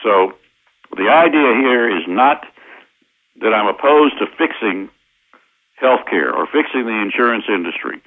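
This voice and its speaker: An American man explaining his points methodically as an interview guest, with hesitant pauses, over a tinny phone line. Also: fairly low pitch, lively intonation. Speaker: interview guest, constitutional lawyer. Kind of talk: explanatory radio interview answers.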